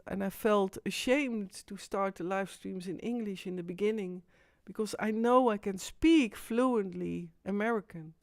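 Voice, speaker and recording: A Dutch-accented woman talks to her live stream viewers in a loose, rambling way, stopping and restarting her sentences often. Her voice sits at an ordinary middle pitch, and the recording sounds dry and close, with little room around it. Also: a little mellow, no room sound. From Netherlands. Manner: rambling, halting, with frequent restarts. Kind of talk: casual live stream monologue.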